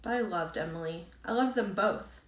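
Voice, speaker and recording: A woman's voice: medium pitch, moderate pace, natural voice. A woman voicing a wistful, nervous young woman in a storybook, hesitant and earnest. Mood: earnest, troubled. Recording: roomy echo, distant from mic.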